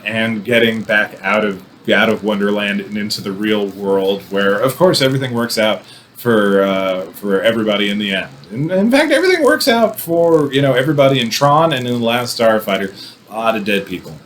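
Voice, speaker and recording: A man giving casual, rambling movie commentary as a host, with wry, animated asides. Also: fairly low pitch, a little hiss or hum. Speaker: film review podcast host.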